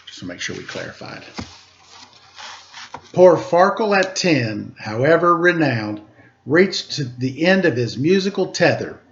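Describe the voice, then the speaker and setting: A man reading aloud to children as a storyteller, with theatrical, drawn-out emphasis and casual asides. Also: a little high, very animated, vocal fry, a little hiss or hum. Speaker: storybook reader, teacher. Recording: muffled lo-fi recording.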